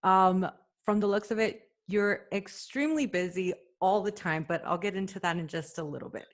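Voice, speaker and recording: A woman's voice: mid-range voice, normal speed. A woman hosting a podcast, introducing her guest and asking questions in an upbeat, enthusiastic way. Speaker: podcast host. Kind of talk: casual interview.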